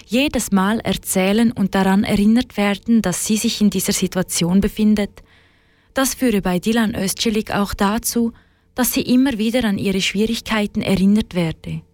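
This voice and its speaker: A woman reporter reading a scripted radio news segment in an even tone. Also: mid-range voice, normal speed, no echo.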